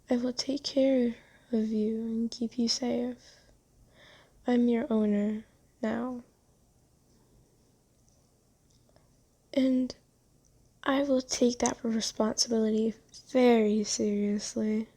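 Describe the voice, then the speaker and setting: A woman voicing a kind but possessive female vampire owner in an ASMR roleplay, soothing and genteel, coaxing gently in halting phrases. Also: fairly high pitch. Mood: earnest, protective. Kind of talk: ASMR roleplay, scripted monologue.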